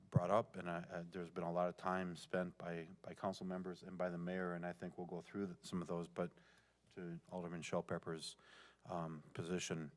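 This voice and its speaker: An American man giving formal remarks as a city council member, in deliberate and halting phrases. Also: sits low.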